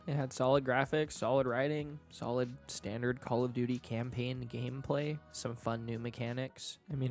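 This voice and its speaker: A man's voice: mid-range voice, darkish tone. A young man with a stuffy nose from allergies, giving a casual, candid rant about a video game and swearing freely. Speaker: gaming YouTuber.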